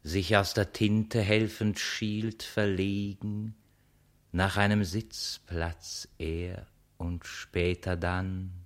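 A man playing a grave poet who recites mock-heroic verse in a measured, declamatory manner for a radio play. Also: low-pitched.

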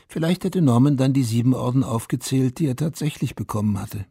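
An actor as the narrator of a melancholy radio drama, reading literary prose in a measured, wistful, gravelly way. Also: male voice, mid-range voice, mellow, no room sound, studio quality. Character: male third-person narrator of a melancholy war story. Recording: music behind the narration. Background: music.

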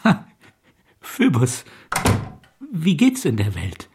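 An actor playing a gentle, muddled, childlike old gentleman in a radio play, halting and confiding, with a husky, breathy voice. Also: a little high, wildly swooping pitch, noisy background, full character voice. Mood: confiding, merry.

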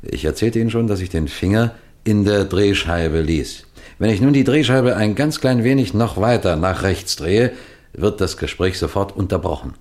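An actor playing a shrewd, self-assured middle-aged detective chief in a 1960s radio drama, explaining things in a measured, knowing way. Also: male voice, on the low side, expressive, a little mellow, close to an everyday voice. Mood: measured, explanatory.

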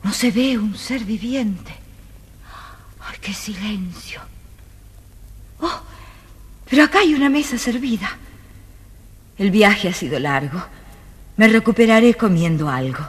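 An actress playing a gentle, brave young woman in a children's audio story, speaking earnestly. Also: mid-range voice, unhurried, pauses often, breathy edge. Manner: earnest, expressive delivery.

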